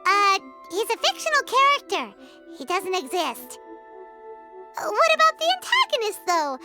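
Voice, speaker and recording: Tiny cheeky fairy companion for a video game: extremely high, squeaky, childlike, very animated delivery. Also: female voice, moderate pace, over music. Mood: matter-of-fact, chatty. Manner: refers to self in third person, blunt. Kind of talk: video game character acting.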